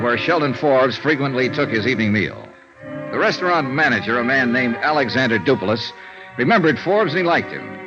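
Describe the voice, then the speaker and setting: Hard-boiled American detective with lively intonation, acted. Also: male voice, medium pitch, lightly acted. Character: world-weary male insurance investigator, dry and persistent. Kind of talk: 1950s radio noir acting and narration. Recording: muffled vintage radio recording.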